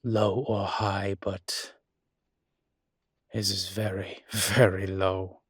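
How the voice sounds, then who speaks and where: Male actor as incubus demon, husky, fairly even intonation, wry, proud, articulate, intimate audio roleplay, dry sound. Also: deep voice, no room sound, heavily acted. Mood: thoughtful, concerned. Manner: wry, articulate, unhurried emphatic phrasing. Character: suave adult male incubus demon, proud, wry, protective of his kind. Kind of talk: roleplay audio drama, intimate conversational monologue.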